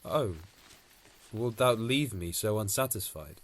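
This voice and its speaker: A man's voice: fairly low pitch, animated, measured pace. A man acting an ardent young romantic lover in a Shakespearean audio drama, with theatrical verse delivery, recorded at home on a phone. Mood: pleading, devoted.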